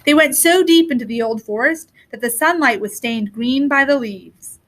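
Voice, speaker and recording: A woman reading a children's story aloud in an expressive storytelling style. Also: high-pitched, moderate pace. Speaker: storybook reader.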